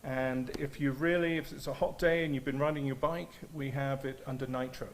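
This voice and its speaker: A man's voice: medium pitch, steady tone, fairly quick, a little hiss or hum. A man who owns a coffee shop, speaking enthusiastically in an on-location interview, with frequent "uh" and "um".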